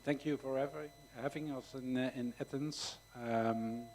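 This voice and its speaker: A man in his fifties speaks slowly and softly at a press conference, pausing often as he finds his words. His voice sits in a middle register, and he sounds measured rather than eager. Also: mid-range voice, fairly even intonation, a little hiss or hum, rough recording. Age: 50s. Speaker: academy technical coordinator. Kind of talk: press conference self-introduction.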